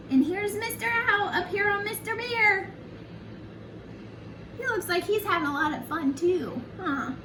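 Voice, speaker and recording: High sing-song woman storyteller, roomy distant recording. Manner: sing-song, addresses young children directly as friends. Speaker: children's storyteller, early childhood educator. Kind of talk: read-aloud picture book with chatty asides to children. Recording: roomy, distant mic, faint background noise.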